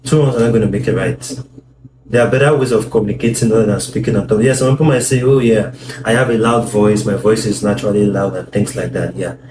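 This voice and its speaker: A man's voice: fairly low pitch, fairly even intonation, fast. A man talking earnestly with his wife about communication in marriage, often repeating words as he explains. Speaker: co-host, husband. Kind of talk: casual talk on marriage advice. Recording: echoey room with background noise.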